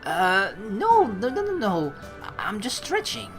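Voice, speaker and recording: A woman's voice: mid-range voice, huge pitch swings, measured pace, music in the background. A young woman reading a visual novel aloud and adding playful, chatty commentary between lines. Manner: playful, giggly, chatty asides. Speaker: let's play streamer.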